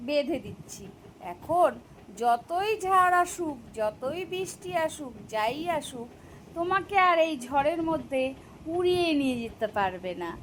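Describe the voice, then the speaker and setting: A woman voicing a sly, clever, persuasive fox in an animated children's story, with emphatic drawn-out delivery. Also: sits high, slight background noise, poor audio. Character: sly, clever, smooth-talking fox outwitting a tiger.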